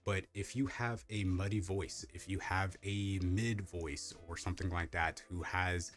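Deep-voiced young man rambling a review. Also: steady tone, measured pace, soft background music. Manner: rambling, many fillers and restarts. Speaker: tech reviewer. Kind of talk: casual product review. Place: home streaming setup with colorful lights.

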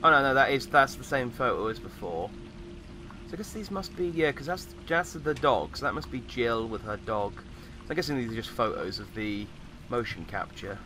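A man with a slightly croaky voice giving casual, rambling commentary as he plays a game. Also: medium pitch, lively intonation, moderate pace, bright, forceful tone, soft background music. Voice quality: slightly croaky, recovering from voice loss. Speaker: gamer, host of a let's play.

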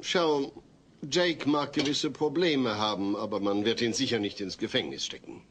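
A medium-pitched voice over faint noise. A man plays a mild suburban dad in a sitcom audio drama, reasonable, hesitant and well-meaning. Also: moderate pace, a bit bright, close to an everyday voice. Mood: patient. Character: patient, well-meaning suburban family father. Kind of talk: German dubbed sitcom audio play. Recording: noisy old cassette transfer.

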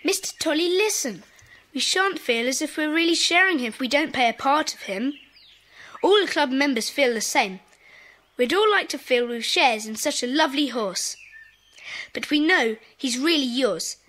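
High, bright, bossy British schoolboy. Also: female voice, expressive. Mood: earnest, eager. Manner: brisk, organising, enthusiastic. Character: eager, bossy schoolboy leading a children's club. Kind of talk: children's audiobook dramatization.

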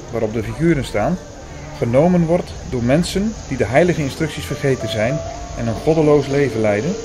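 A man narrating an audio drama in the first person, as an attentive, sceptical storyteller in a measured, bookish manner. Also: medium pitch, lightly acted. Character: Hugo, attentive, sceptical male listener and storyteller. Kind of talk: scripted first-person narration and reading aloud. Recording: music under the voice.

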